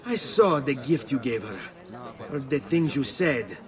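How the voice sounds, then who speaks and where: A man's voice: medium pitch, very animated, a little slow, crowd noise. An actor playing a cocky, hot-headed young nobleman and protective brother with an Italian accent in a video game. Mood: angry. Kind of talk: video game cutscene acting. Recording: game music behind.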